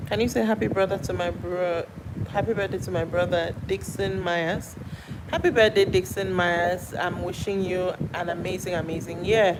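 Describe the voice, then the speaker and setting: A Nigerian woman chats casually on a live stream, greeting viewers by name and answering their comments as they come in. Her voice sits at an ordinary middle pitch for a woman. The recording is noisy, with steady passing traffic behind her.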